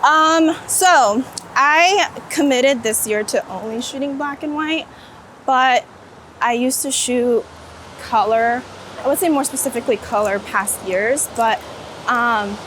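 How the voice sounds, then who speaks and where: A woman's voice: a little high, measured pace, fairly bright tone, a little hiss or hum, low-quality recording. A woman chats casually and enthusiastically in an interview outdoors on a city street. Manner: enthusiastic, informal, peppered with "like". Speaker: street photographer being interviewed. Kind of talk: casual interview chat while walking. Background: street ambience and passing vehicles.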